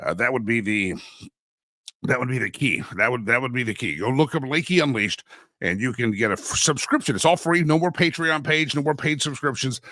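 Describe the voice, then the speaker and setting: Guy hosting a talk show w a head cold, stuffy, bright edgy voice, dry close mic. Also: mid-range voice, fairly quick, no echo. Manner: folksy, chatty, many restarts and asides. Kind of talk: casual political talk show monologue. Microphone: studio microphone.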